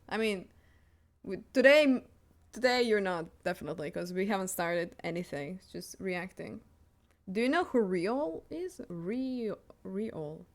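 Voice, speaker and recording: A young woman livestreamer chatting casually as she reacts to videos and answers her viewers. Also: medium pitch. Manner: chatty, reading and answering chat. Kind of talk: casual reaction stream chat.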